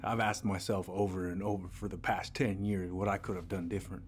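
A man acting an earnest, remorseful police chief in a narrative video game. His voice is on the low side and halting, with sincere delivery over background music. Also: level tone, close to an everyday voice. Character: earnest, remorseful small-town police chief, a father figure.

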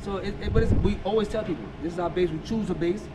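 A middle-aged American man chatting casually and emphatically, repeating himself for effect, recorded outdoors on a rooftop terrace with a camera mic. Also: high-pitched. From United States. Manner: fast, emphatic, repetitive, animated. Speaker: guest vlogger. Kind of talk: casual chat. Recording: outdoor city noise behind.

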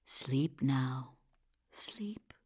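An actress playing a grieving village widow who becomes a commanding healer, in an audio drama. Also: female voice, very deep voice, expressive, extremely slow, a little mellow, breathy edge, full character voice. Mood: soothing.

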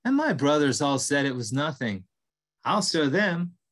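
A busy little male mouse from a storybook, wide-eyed and earnest, always asking what things are. His voice sits high and jumps about a lot as he questions everything, with a man acting him out over a video call. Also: very animated, unhurried, a bit bright, full character voice. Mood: determined. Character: small busy curious young male mouse.

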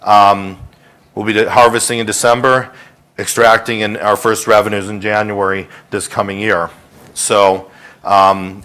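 Low-voiced man giving an investor pitch. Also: a bit bright. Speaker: company executive giving an investor presentation. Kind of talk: informal business pitch to an audience. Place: hotel conference room with seated audience.

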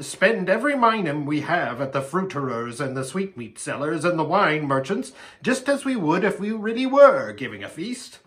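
Storybook faun acted by a man: nervy schemer, high and bright, breathless, courteous. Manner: breathless, repetitive, excitable. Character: excitable, anxious, scheming male faun, eager and courteous.

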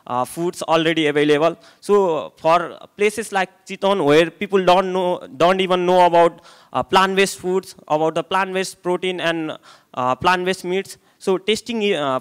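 Young Nepali man, clear voice. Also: high-pitched, fairly bright tone. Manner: hesitant, many filler sounds and restarts. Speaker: conference speaker. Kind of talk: conference presentation. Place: hotel conference room, indoors. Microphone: handheld microphone.

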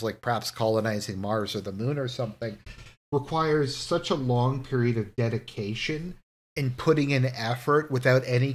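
Male host, mid pitch, reflective off-the-cuff commentary, restarts and emphatic rises. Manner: thoughtful, musing, with frequent restarts and rising emphasis. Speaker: video essay host. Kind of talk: casual solo commentary.

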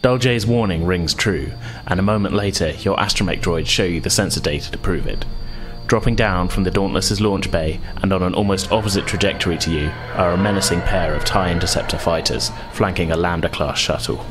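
A man's voice: sits low, fairly quick, soft background music. A man narrating a tabletop role-playing story as game master, in prepared, descriptive prose.

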